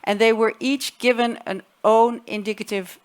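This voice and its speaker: A woman's voice: fairly high pitch, fairly even intonation, moderate pace, clean tone. A woman raised in the Netherlands, a government official speaking as a panel guest on stage, explaining policy with many fillers and restarts. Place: conference stage with audience.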